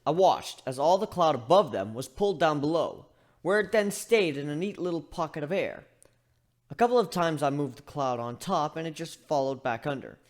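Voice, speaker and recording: A chatty male griffin voiced by a man tells his own fantasy story. His delivery is wry and conversational, wandering off into asides, and his pitch moves around in an animated way. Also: normal speed, natural voice. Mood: musing, rambling. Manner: conversational, rambling, self-interrupting. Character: chatty male griffin, wry, rambling first-person narrator. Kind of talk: dramatic reading of fan fiction, storytelling.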